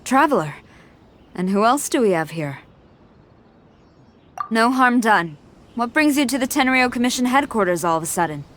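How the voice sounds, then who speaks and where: An actress playing a composed woman commander in a game, speaking formally and courteously with quiet authority. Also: on the high side, lively intonation, normal speed, long pauses. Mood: composed, helpful. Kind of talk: game cutscene voice acting. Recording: faint noise behind the voice.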